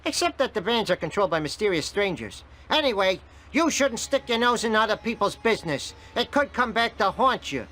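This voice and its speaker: Shifty mob goon, high and swoopy, menacing, cartoon game. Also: male voice, big pitch swings, brisk, few pauses, bright, forceful tone, full character voice, low-quality recording. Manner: veiled menace. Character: shifty, threatening stereotypical Italian mobster.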